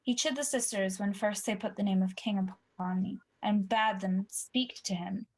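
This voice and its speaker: A woman's voice: medium pitch, steady tone, moderate pace, a little mellow, lightly acted. A young woman acting an ambitious, guilty usurper king in a Shakespeare radio play. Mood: brooding. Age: young adult. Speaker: student actor.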